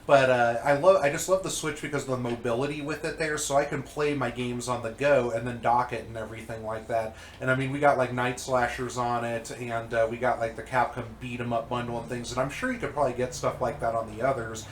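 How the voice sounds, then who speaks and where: A man's voice: medium pitch, fairly even intonation, a little fast, few pauses, reverberant, slight background noise. A congested man rambling casually about old games, with many fillers and restarts. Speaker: co-host reviewing old magazines. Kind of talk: casual chat.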